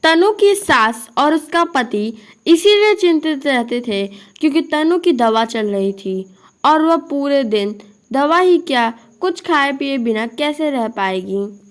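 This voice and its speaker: Give me a woman narrator for a children's story, high-pitched and unhurried. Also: animated.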